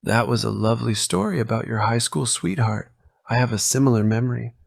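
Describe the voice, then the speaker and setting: Man acting a gravelly-voiced, hesitant young office worker. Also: medium pitch, fairly quick, no room sound, studio quality. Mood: wistful, resolved. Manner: brooding, tentative self-questioning. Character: lovelorn, hesitant office worker in his late twenties. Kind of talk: anime-style dub inner monologue.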